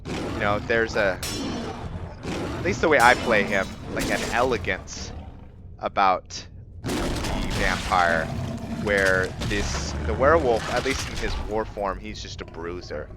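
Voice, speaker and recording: A man giving casual let's play commentary as he roleplays, rambling and halting as he thinks aloud. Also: on the low side, heavy background noise. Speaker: gaming video host, roleplayer.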